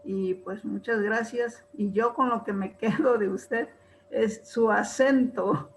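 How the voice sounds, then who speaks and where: A woman teacher commenting on a webinar video call, slow and thoughtful. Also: mid-range voice, steady tone, a little hiss or hum, low-quality recording.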